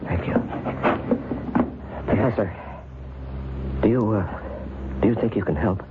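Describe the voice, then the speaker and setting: A man's voice: on the low side, unhurried, natural voice. An actor playing a frightened, agitated man who stammers and pleads in an old-time radio drama. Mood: anxious, fearful. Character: frightened, frantic man convinced he is cursed. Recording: noisy, tinny old radio broadcast.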